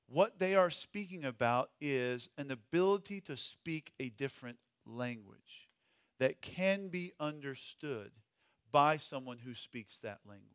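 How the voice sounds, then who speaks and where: Higher-voiced man teaching, dry close sound. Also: smooth, no echo. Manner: conversational, asks questions, emphatic key words. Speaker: Bible teacher leading a group study. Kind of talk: informal teaching talk with questions to the group.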